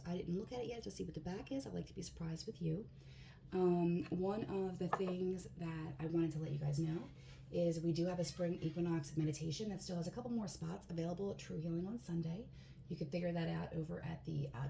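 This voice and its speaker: A woman with a hoarse voice giving a casual tarot card reading in a relaxed, chatty way, with plenty of fillers. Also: lowish voice. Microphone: phone. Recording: echoey room, faint background hiss.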